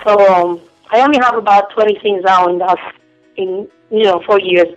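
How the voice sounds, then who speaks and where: A woman's voice: mid-range voice, normal speed, dry recording, faint music behind. A young woman from Cuba with a Spanish accent, talking frankly and giggling as a phone-in guest on a casual radio interview. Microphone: telephone. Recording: dull lo-fi phone line.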